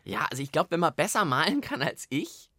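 A man's voice: a little high, sing-song, fairly quick, bright, forceful tone, no room sound. A man co-hosting a children's podcast in a studio, bantering casually while trying out experiments.

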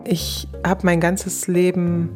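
A woman's voice: fairly low pitch, monotone, slow, over music. A woman telling her own story as an interviewee, in casual, conversational speech. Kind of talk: casual personal recollection.

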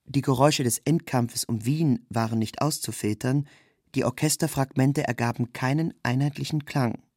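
A man's voice: mid-range voice, normal speed, darkish tone, no echo, clean studio sound. A man reading a scripted literary narration in a sober, factual manner.

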